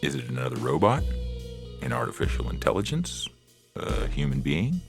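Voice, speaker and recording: A man playing a calm, reassuring therapist in a science fiction audio story, gentle and patient, with music behind him. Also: very deep voice, exaggerated voice. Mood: gentle, probing. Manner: patient, gentle, measured questioning. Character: calm, reassuring male therapist to humans and robots. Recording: music clearly under the voice.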